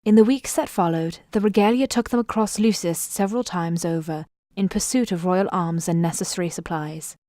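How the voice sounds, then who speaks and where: A woman performs the narrator of a fantasy audio drama. Her voice sits on the low side and sounds composed and deliberate, recorded close and dry. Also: mellow, dry recording, close to an everyday voice. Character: composed female storyteller of a fantasy tale. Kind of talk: scripted storybook narration.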